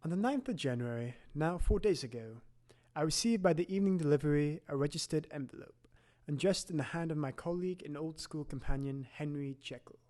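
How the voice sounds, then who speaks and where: Young guy playing puzzled victorian physician narrating, kinda higher male voice, formal, measured, audio drama. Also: mellow, close to an everyday voice. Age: young adult.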